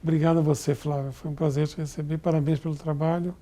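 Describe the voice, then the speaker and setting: A middle-aged man, a diplomat, answering questions in a formal television interview in a measured, deliberate way. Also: on the high side, level tone, mellow, slight background noise. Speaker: Brazilian diplomat in Tokyo.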